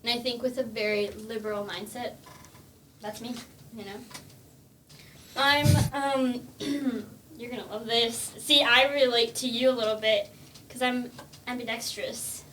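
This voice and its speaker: A young adult making a deadpan, mock-confident pitch in an improvised podcast game. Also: measured pace, long pauses. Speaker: podcast guest. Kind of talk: casual improvised game chat. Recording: distant mic with background noise.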